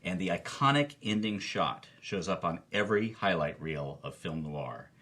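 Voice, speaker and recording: Animated, husky low-voiced man. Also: moderate pace. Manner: emphatic, enthusiastic. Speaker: podcast co-host and film commentator. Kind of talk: animated film commentary.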